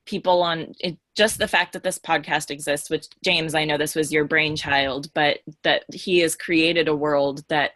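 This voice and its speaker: A woman's voice: low-pitched, fairly even intonation, normal speed, hardly any pauses, a bit bright, no echo. A woman in casual podcast conversation, speaking earnestly and effusively as a co-host.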